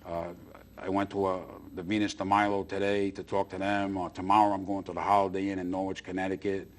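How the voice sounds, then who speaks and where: A man's voice: low voice, flat delivery, fast talker. A middle-aged man chatting casually as a talk-show guest, enthusiastic and often repeating himself for emphasis. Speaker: guest, stand-up comedian and booker.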